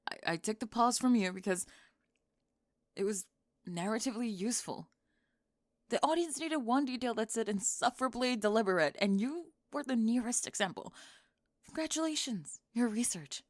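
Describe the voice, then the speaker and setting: A young woman playing a sharp-tongued, defensive goth college student who is secretly smitten, in an ASMR roleplay, speaking in halting, clipped, emphatic phrases. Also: on the high side, animated, dry recording, clean studio sound, natural voice. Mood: defensive, flustered. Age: young adult. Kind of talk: ASMR roleplay monologue, intimate audio drama.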